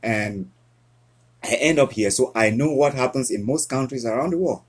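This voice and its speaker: Earnest man from Cameroon, husky voice. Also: medium pitch. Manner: earnest, halting with frequent restarts. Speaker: guest. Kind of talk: casual interview conversation.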